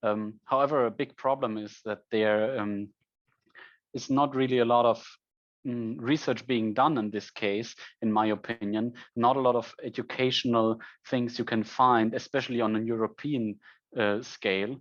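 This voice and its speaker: A young man in his twenties from Germany, speaking English with a German accent, giving a conference presentation and filling pauses with frequent ums. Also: medium pitch, fairly even intonation, a bit bright, muffled recording. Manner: frequent ums, self-corrections.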